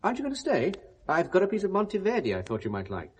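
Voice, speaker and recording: An actor playing a genteel, pious and concerned British confidant in a 1970s radio drama, speaking with gentle firmness. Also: male voice, high voice, huge pitch swings, fast, slightly rough, exaggerated voice. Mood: earnest, advising.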